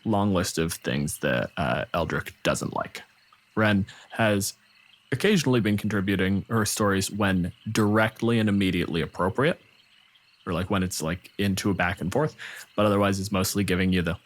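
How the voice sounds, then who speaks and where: A man's voice: sits low, normal speed. A man improvising narration as the game master of a tabletop fantasy game.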